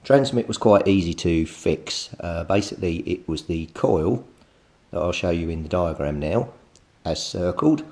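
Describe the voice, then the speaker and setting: A man with a somewhat low voice talks through a repair at his home workbench. He is plain and methodical and thinks aloud as he goes, with the odd filler. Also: lively intonation, unhurried, darkish tone, no echo. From Britain. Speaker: radio repair hobbyist. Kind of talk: technical repair walkthrough.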